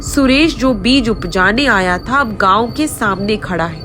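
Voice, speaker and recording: A woman's voice: mid-range voice, expressive, unhurried. A woman narrating a folk tale as a dramatic scripted story. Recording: faint music behind the voice.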